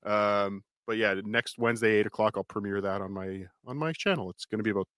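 A man's voice: lowish voice, fairly quick, a bit bright, clear, resonant tone, dry recording, studio quality. A man co-hosting a livestream, promoting his own videos in blunt, casual talk with some swearing. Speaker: livestream co-host and channel creator. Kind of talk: casual chat and self-promotion.

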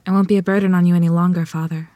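A dutiful eighteen-year-old daughter performed by a woman in a period drama. The lines come quickly, yet stay formal and restrained. The recording is dry and close. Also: on the low side, level tone, mellow, dry recording, lightly acted. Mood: resigned. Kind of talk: table-read screenplay acting.

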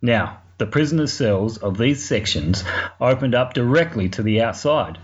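A man's voice: mid-range voice, lively intonation, moderate pace. A middle-aged man from Australia with an Australian accent, narrating a scripted recap as a podcast correspondent. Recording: dull lo-fi audio with noise.

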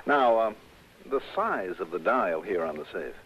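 An actor playing a shrewd, self-assured male investigator in a 1960s radio drama, smooth-talking and deliberate. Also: sits low, animated, full character voice. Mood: courteous, charming. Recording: dull, noisy vintage radio recording.

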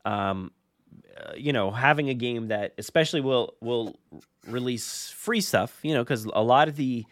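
A male podcast host chatting casually in a very dry, close recording. His pitch is middling, and he rambles with a self-deprecating streak as he talks things through. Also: normal speed, no room sound. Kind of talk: casual conversational podcast chat.

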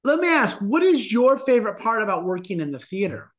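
A woman's voice: medium pitch, very animated, brisk. Podcast host, woman, effusive and upbeat, voice rises and falls a lot. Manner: enthusiastic, effusive praise. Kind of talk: interview hosting.

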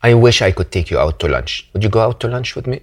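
Effusive man, fairly deep. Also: animated, very fast, darkish tone, slightly rough. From Egypt. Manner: effusive, emphatic, heartfelt. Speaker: host. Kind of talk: conversational interview.

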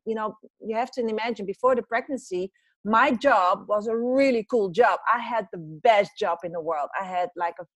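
A woman's voice: mid-range voice, no echo. Chatty Dutch-accented woman in her forties. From Netherlands. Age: 40s. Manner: animated, chatty, many fillers and restarts. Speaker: podcast guest, business coach. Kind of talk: casual interview chat.

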